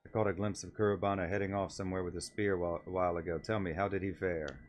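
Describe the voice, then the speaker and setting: A man's voice: deep voice, steady tone, fast talker, faint music behind, natural voice. A man voicing a concerned old wolf-man village elder in a video game, speaking in a courteous, formal way.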